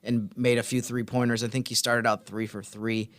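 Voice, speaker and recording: A man's voice: mid-range voice, level tone, normal speed, a bit bright, no room sound. A man co-hosting a sports podcast, talking basketball casually like a team reporter.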